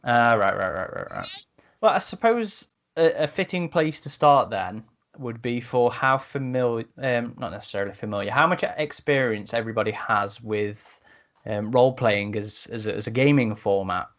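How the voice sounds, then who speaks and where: A man's voice: medium pitch, animated, normal speed, a bit bright. A man hosting a podcast, steering a casual panel discussion. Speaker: podcast host moderating a panel.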